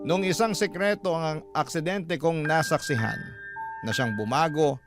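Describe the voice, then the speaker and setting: A man's voice: a little high, measured pace, lightly acted. A man with a Filipino accent narrating a first-person story for a radio drama. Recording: loud music under the voice. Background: loud instrumental music.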